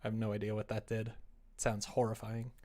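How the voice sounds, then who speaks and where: A man chatting casually on a livestream as he works, rambling and self-deprecating, often muttering to himself, with a gravelly, creaky voice. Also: fairly low pitch, completely flat delivery, a little fast, dark tone. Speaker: live streamer, game developer. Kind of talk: casual livestream chat while working on screen.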